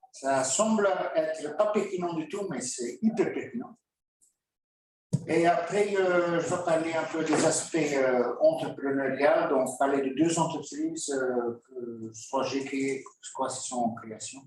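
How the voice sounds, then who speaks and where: A man, giving a lecture as a guest speaker, halting, with frequent filler pauses and wry asides. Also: a little high, slight background noise. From Belgium. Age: 50s. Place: lecture hall. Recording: very echoey hall from far off.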